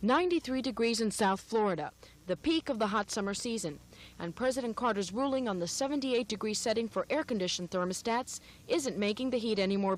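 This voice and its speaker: An American woman narrating a scripted television news report as the reporter. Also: a little high.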